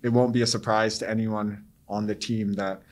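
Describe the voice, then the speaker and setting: A young man, a pro soccer player, answering post-game press questions in a measured, steady way with frequent fillers. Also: lowish voice. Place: press room before a sponsor backdrop.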